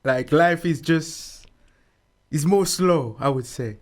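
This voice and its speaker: A man's voice: fairly high pitch, takes it slowly. A man from Mauritius being interviewed, talking casually and repeating words often.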